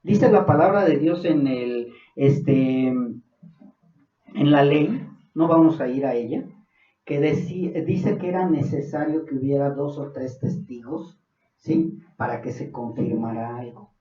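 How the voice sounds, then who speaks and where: A man's voice: medium pitch, unhurried. A man with a Mexican accent preaching a Bible study sermon, earnest and building to fervent emphasis. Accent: Mexican Spanish. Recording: distant, dull, echoey room.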